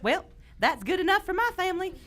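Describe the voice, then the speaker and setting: An actress playing a fretful, gullible housewife in a melodramatic parody of a 1940s radio commercial. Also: female voice, extremely high pitch, very animated, fast, a bit bright, heavily acted. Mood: doubtful. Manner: exaggerated, melodramatic.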